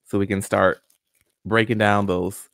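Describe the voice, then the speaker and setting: A man's voice: on the low side, little pitch movement, a little slow, vocal fry, a bit bright, no room sound. A man in his forties, a guest scientist, friendly and step by step, walking viewers through a hands-on experiment at home. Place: small home room. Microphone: studio condenser mic with pop filter and headphones.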